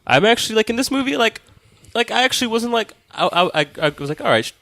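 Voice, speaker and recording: A man's voice: very high-pitched, very animated, fast talker, vocal fry, sharp tone, no echo, studio quality. A man co-hosting a film podcast, talking casually with swearing, emphatic and argumentative, often repeating himself to press his point. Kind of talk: casual, profane film discussion.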